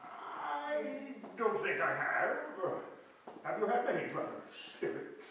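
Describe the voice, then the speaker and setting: A man acting a high-voiced, anguished old miser. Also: sing-song, slow, creaky, full character voice. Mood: humbled. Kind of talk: live reader's theatre stage acting. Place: indoor hall stage with audience. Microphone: far camera. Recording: echoey hall from far off, hissy.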